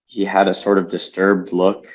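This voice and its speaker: A man's voice: low-pitched, monotone, normal speed, dull audio, soft background music. A man from New York recounting what he witnessed in a news interview. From New York, United States. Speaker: eyewitness interviewee.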